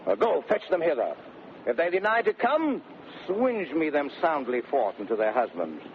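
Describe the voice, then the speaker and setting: An actor playing a boastful, commanding husband in 1930s radio Shakespeare, declaiming theatrically in a domineering manner. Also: male voice, a little high, very animated, moderate pace, slight background noise. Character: boastful, commanding husband who has tamed his wife. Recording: muffled old radio recording with crowd din.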